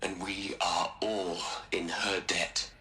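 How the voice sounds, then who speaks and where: An actor playing a solemn scientist recording a log in a video game, speaking gravely and deliberately. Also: male voice, low voice, little pitch movement. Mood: grave, sorrowful. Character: solemn male scientist logging a disaster, anguished. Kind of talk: cinematic video game acting.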